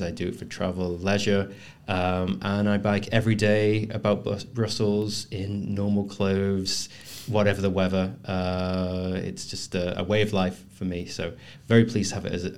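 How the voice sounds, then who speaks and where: Deep-voiced man. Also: a little mellow. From north of England. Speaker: podcast guest, communications manager. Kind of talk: casual podcast interview.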